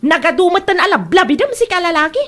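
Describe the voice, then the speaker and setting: A woman's voice: very high voice, big pitch swings, quick pace, fairly bright tone, full character voice. A woman playing a scolding, fed-up Ilocano wife who nags about debts in a comedy drama. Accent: Filipino, Ilocano. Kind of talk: comedy audio drama acting.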